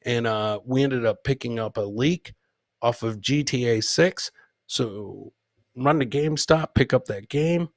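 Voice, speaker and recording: A man's voice: medium pitch, measured pace, no room sound, studio quality. A man hosting a live comedy podcast, bantering casually and rambling, with swearing and showman-like addresses to his audience. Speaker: podcast host.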